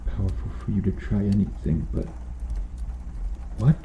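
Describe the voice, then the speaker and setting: A man playing a stern medieval knight in an ASMR roleplay, questioning formally and earnestly with dramatic pauses. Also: fairly low pitch, dark tone, roomy sound, slight background noise, lightly acted. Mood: solemn, insistent. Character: stern medieval knight interrogating an accused noble witch.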